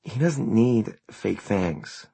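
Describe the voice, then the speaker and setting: A man's voice: low-pitched, normal speed, creaky, breathy edge, no room sound, heavily acted. A man voicing an irritable, blunt teenage girl in an audiobook, curt and scornful.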